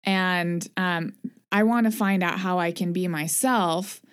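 A woman hosting a podcast discussion, gently asking questions and encouraging her guest. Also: fairly low pitch, slow pace, clean tone. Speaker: podcast host. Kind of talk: guided discussion.

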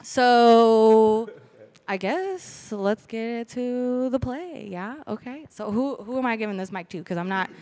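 A woman's voice: fairly high pitch, slow pace, dark tone. A woman hosting a podcast in casual, joking chat. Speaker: podcast host.

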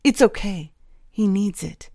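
Woman playing an eerie, breathy witch girl. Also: mid-range voice, a little slow, dark tone, no room sound, clean studio sound, pitched above the performer's own voice. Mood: quiet, menacing. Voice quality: breathy, sibilant whisper. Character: dark, eerie young witch girl, fond of beasts.

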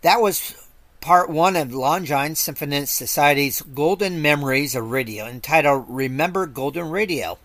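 A male announcer with a fairly high voice reads a scripted introduction. The sound is close and dry, with soft music behind. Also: moderate pace, no room sound. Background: faint music.

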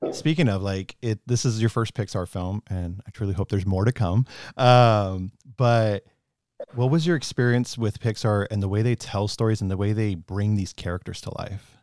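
Low-voiced enthusiastic male interviewer. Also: a little mellow, no echo, studio quality. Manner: enthusiastic, halting with fillers. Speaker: podcast host interviewer. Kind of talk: interview questions.

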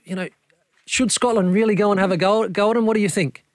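A man's voice: high-pitched, steady tone, fast, no room sound, studio quality. A man discussing football on radio, often stammering and posing questions. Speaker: football pundit. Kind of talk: sports radio analysis.

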